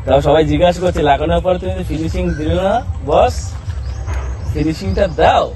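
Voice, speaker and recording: A man's voice: fairly high pitch, brisk. A man making a prank phone call, teasing and suggestive, chuckling as he plays on double meanings. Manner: playful, suggestive, chuckling through his lines. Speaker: prank caller.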